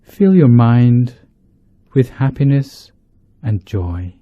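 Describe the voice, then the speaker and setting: A man, a monk leading a guided meditation, speaking in deliberate short phrases with pauses between them. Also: medium pitch, sing-song. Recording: dull lo-fi, roomy echo.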